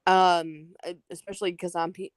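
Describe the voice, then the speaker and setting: A woman's voice: lowish voice, level tone, moderate pace, clear, resonant tone, dry recording, clean studio sound. A woman with a Southern US accent, talking earnestly and apologetically in a casual online group chat. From Southern United States. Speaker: call-in guest.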